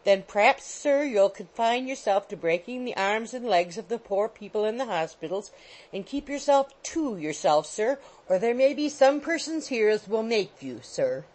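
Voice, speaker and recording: A woman voicing an indignant, quarrelsome middle-aged landlady, in a loud scolding tirade. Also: mid-range voice, big pitch swings, moderate pace, hardly any pauses, low-quality recording. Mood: haughty, cold. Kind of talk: audiobook character voice.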